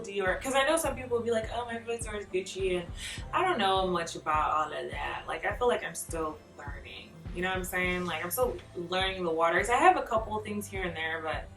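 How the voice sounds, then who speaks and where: Chatty woman in a roomy space. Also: mid-range voice. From Nigeria. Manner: chatty and animated, laughs often, lots of "like" fillers. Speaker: vlogger. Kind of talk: casual chat answering tag questions. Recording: roomy echo, mic a bit far off, faint music behind.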